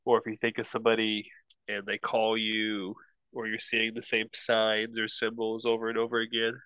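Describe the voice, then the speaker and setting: A man's voice: on the low side, monotone, moderate pace, bright, forceful tone, clean tone, no echo. A young man with a stuffy nose, chatting casually as a podcast co-host, rambling and hesitant. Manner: rambling, hesitant, laughs easily. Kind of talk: casual chat.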